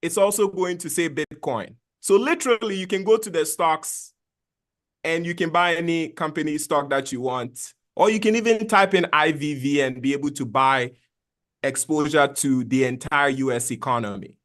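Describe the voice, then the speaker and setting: A man's voice: a little high, moderate pace, a bit bright. A middle-aged man from Ghana, a finance guest, patiently explaining investing in plain words over a video call.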